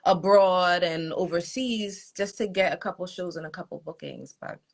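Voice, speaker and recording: A young American woman, a soca artist guest, chatting casually with many ums and quick laughs. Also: on the low side, vocal fry. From United States. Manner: chatty, laughs easily, thinks aloud with ums. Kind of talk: casual interview chat.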